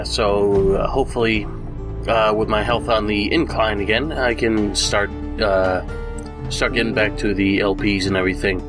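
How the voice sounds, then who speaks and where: A slightly low-voiced man, stuffy and congested after being sick, talks through a casual news update for his channel. He rambles, stopping often on fillers and restarting his sentences. Music plays clearly behind him the whole time. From United States. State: congested, recovering from illness. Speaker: gaming YouTuber.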